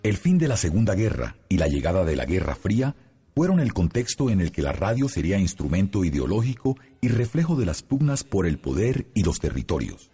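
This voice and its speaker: A man narrates a scripted radio documentary. His voice is deep and mellow, moving expressively up and down. Faint music plays underneath. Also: very animated, fairly quick, husky.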